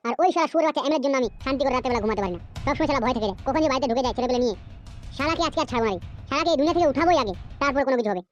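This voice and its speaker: Cartoon village henchman played by a woman: high-pitched, vengeful, foul-mouthed ranter. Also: animated, racing, lo-fi sound, background noise. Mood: furious grievance. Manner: ranting, coarse, swearing. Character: aggrieved, vengeful village henchman, male, ranting and crude. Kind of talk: amateur cartoon dubbing.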